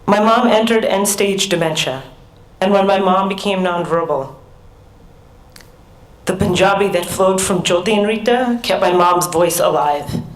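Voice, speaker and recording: A middle-aged woman with an American accent tells her story in an earnest, measured way, sometimes restarting a word. Her voice rings in an echoey hall, and her pitch sits in the middle range. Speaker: conference speaker. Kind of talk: personal talk at a research summit.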